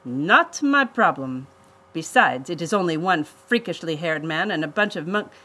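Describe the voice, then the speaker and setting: A woman voicing a pompous, menacing male villain, a vain botanist, in a storybook reading with slow, emphatic delivery. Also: sits low, lively intonation, bright, forceful tone, heavily acted. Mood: commanding.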